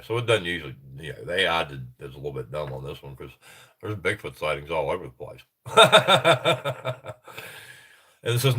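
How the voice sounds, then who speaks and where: A man's voice: fairly low pitch, pushed and bright. Congested middle-aged man rambling. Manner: rambling, chuckling, self-interrupting. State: congested, stuffy nose. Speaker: livestream host. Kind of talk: casual livestream chat, reading reports aloud.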